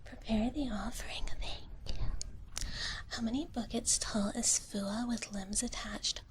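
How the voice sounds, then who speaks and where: A woman livestreaming ASMR, chatting casually in a gentle, slow whisper and often repeating short phrases, close to the mic. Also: fairly high pitch, fairly even intonation, low-quality recording.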